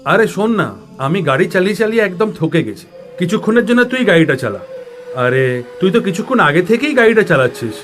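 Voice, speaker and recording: A man voicing an animated, excitable cartoon fellow. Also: high-pitched, a little fast. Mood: tired, concerned. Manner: casual, animated. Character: excitable ordinary man, friend on a road trip. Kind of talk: cartoon voice acting. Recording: music behind the voice.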